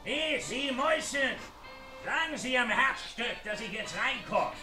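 High-pitched, menacing, jeering intruder. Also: male voice, animated, a little slow, far from the mic, music in the background. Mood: jeering, angry. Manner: jeering, mock-polite, swinging from muttering to shouting. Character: wounded menacing male intruder, mocking and taunting through a door. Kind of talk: German radio crime play acting. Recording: echoey radio play, off the mic.

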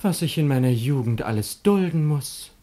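An actor playing a petulant young lover, complaining theatrically in a classical radio comedy. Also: male voice, mid-range voice, animated, moderate pace, warm tone, close to an everyday voice. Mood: petulant, disgusted. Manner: complaining, declamatory.

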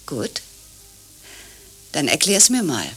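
A woman's voice: deep voice, wildly swooping pitch, takes it slowly, fairly bright tone, breathy edge, faint music behind, exaggerated voice. An actress playing a distrustful, needling woman in radio play dialogue, insistent and probing. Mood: suspicious, needling. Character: distrustful, needling visitor pressing for honesty.

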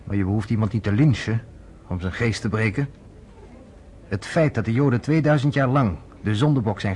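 A man's voice: fairly low pitch, a little mellow, a little hiss or hum, close to an everyday voice. A man playing a wry skeptical American friend. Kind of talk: radio drama acting.

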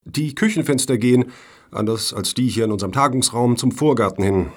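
An actor playing a self-assured, unruffled foundation official in a satirical radio play, dry and needling in manner. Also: male voice, medium pitch, brisk, lightly acted. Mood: slyly assured. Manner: dry, matter-of-fact, needling.

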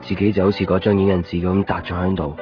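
A man's voice: deep voice, little pitch movement, quick pace, natural voice. A man with a Hong Kong Cantonese accent playing a weary, short-tempered photocopy shop keeper in a radio drama. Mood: listless, gloomy. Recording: muffled 1990s radio drama, music behind. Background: music.

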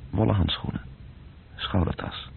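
A man narrating a literary radio drama in a measured, descriptive way, with a deep, gravelly voice. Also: close to an everyday voice. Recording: muffled narrow-band radio play recording.

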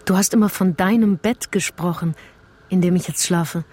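Eine Schauspielerin spielt in einem Krimi-Hörspiel eine verliebte, anhängliche Frau, die ernst und schwärmerisch spricht. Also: female voice, lowish voice, normal speed, darkish tone, natural voice. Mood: adoring, yearning. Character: adoring, lovestruck, clinging woman admirer. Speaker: actress in radio play. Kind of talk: German radio crime drama dialogue.

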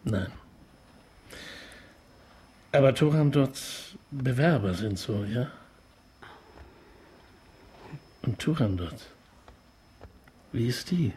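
An actor playing a polite, opera-loving police detective in a radio play, musing and probing gently in a roundabout way. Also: male voice, medium pitch, expressive, slow, long pauses, a little hiss or hum. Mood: musing, delicate. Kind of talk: naturalistic radio drama acting.

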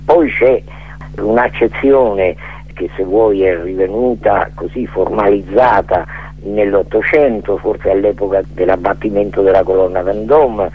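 A man's voice: lowish voice, a little slow, breathy edge. An older man speaking as a radio guest in a digressive philosophical monologue, hesitating as he searches for words. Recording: muffled, tinny phone-like radio line.

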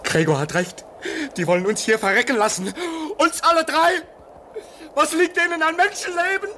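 A man's voice: very high-pitched, moderate pace, sharp tone, a little hiss or hum. An actor playing a grim, sardonic man stranded at a polar station in a radio drama. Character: grim, pessimistic male polar station worker.

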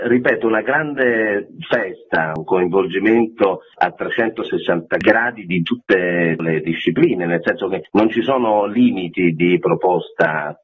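Casting: male festival director as radio guest, deep, very raspy, explains with emphasis, telephone sound. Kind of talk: radio interview, explanatory answers. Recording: very thin phone line on radio.

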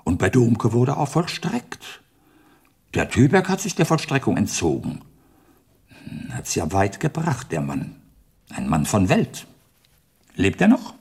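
An actor playing a crotchety elderly retired judge in a German radio play, sardonic and halting, with old-fashioned turns of phrase. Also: male voice, medium pitch, wildly swooping pitch, a little mellow, heavily acted. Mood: musing. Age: older. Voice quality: gravelly, husky. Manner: halting, addresses listener archaically in third person.

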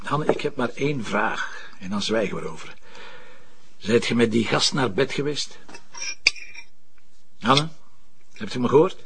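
A gravelly, blunt Flemish police commissioner. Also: male voice, medium pitch, expressive, measured pace, long pauses, fairly bright tone, a little hiss or hum. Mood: jealous, bitter. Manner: blunt, sarcastic. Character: gruff, jealous, sarcastic male police commissioner. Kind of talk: naturalistic TV crime drama acting.

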